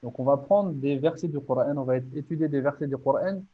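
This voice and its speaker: A man's voice: medium pitch, quick pace, narrow, tinny audio. A man teaching, presenting his online course step by step in an earnest, motivating way with many small restarts.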